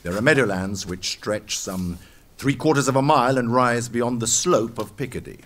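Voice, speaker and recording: A man playing an orderly medieval French captain in an audio drama, briefing in a formal, measured way. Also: mid-range voice, fairly bright tone, close to an everyday voice. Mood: commanding, methodical.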